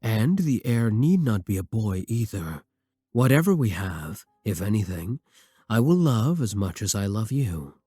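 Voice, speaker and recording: Casting: male actor as vampire king, courtly and devoted, unhurried, husky, romantic ASMR roleplay. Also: fairly low pitch, sing-song, no room sound, clean studio sound, exaggerated voice. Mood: tender, reassuring. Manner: measured, formal, gently intimate.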